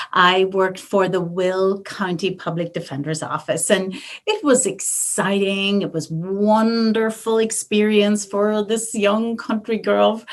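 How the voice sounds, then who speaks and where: Effusive woman with a Northern Irish accent. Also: medium pitch. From Northern Ireland. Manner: warm, effusive, heartfelt. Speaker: estate planning attorney. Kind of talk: library presentation.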